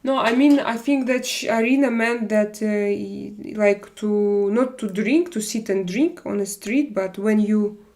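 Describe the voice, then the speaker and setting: Slow, gravelly woman. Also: mid-range voice, darkish tone. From Russia. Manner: halting, searching for words with many fillers. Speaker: live stream host. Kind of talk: casual live stream chat, reading viewer comments.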